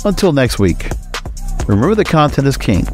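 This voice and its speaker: A man's voice: medium pitch, big pitch swings, normal speed, over music. A man hosting a podcast solo with casual, conversational commentary, dropping opinionated asides in short, choppy sentences. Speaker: podcast host.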